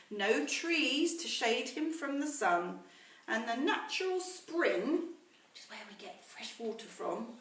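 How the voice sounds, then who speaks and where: A woman reading a story aloud to children in a teacherly way, pausing to explain words and ask questions. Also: fairly high pitch, a bit bright. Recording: roomy echo, distant mic, faint noise.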